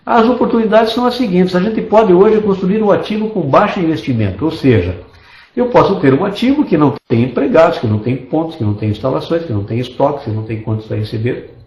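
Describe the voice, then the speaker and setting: A man's voice: on the high side, lively intonation, quick pace, darkish tone, reverberant, lo-fi sound. A man from Brazil giving an online business presentation as a network marketing presenter, persuasive and enthusiastic, stacking lists of points. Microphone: desktop computer mic.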